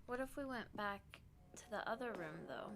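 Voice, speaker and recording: A woman's voice: mid-range voice, animated, normal speed, creaky, music in the background. A young woman with a stuffy nose, playfully teasing a game as she streams. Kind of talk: casual gameplay commentary.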